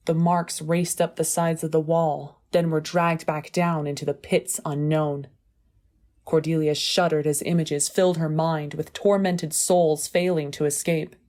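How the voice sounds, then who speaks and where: A woman narrates an audiobook in a markedly low voice. Her delivery is measured, scripted and dramatic. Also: warm tone.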